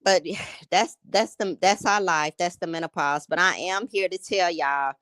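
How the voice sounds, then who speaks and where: A woman's voice: on the low side, unhurried, a bit bright, dry recording. A woman in her late fifties from Gary, Indiana, chatting casually with her livestream viewers and laughing often. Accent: Northern Indiana, Gary. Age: 50s. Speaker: livestream host.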